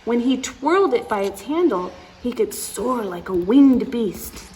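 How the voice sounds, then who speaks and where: A woman's voice: a little high, expressive, unhurried, darkish tone, rough recording. A woman reading a storybook aloud to young children as a story time host, expressively, with exclamations and asides to her listeners. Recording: clear background noise.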